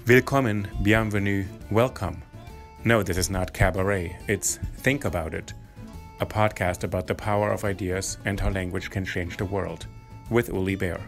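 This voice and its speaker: A man's voice: sits low, steady tone, moderate pace, soft background music. A man hosting an interview podcast, introducing it and putting questions to his guest. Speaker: podcast host.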